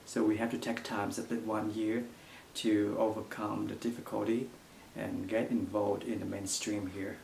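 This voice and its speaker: A young man from Vietnam with a Vietnamese accent, answering interview questions in halting English full of fillers. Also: on the low side, vocal fry, a little mellow, a little hiss or hum. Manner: halting non-native English with frequent fillers. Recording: echoey room.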